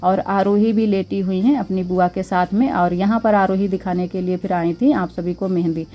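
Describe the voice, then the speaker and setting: A young woman with a sore, slightly hoarse throat, chatting casually as she narrates her daily vlog about household chores at her village home. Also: medium pitch, steady tone, moderate pace, warm tone. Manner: chatty, homely, thanks viewers. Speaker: vlogger. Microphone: clip-on mic.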